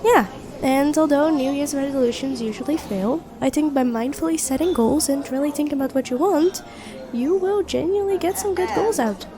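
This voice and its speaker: A woman's voice: high-pitched, normal speed, close to an everyday voice. A woman playing a friendly, teasing young best friend with a secret crush in an intimate ASMR roleplay. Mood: playfully curious. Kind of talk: intimate ASMR roleplay acting, one side of a conversation.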